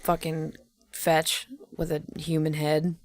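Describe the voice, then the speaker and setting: Gravelly, foul-mouthed podcast co-host. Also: medium pitch, monotone, slow pace, no room sound. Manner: blunt, foul-mouthed, jokey interjections. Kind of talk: casual comedic banter.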